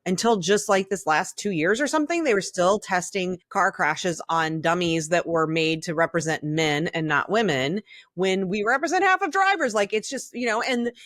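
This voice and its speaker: A woman's voice: fairly low pitch, animated, moderate pace, fairly bright tone, no room sound, studio quality. A middle-aged woman co-hosting a podcast, talking candidly and casually in an opinionated, blunt way and swearing freely. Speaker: podcast co-host.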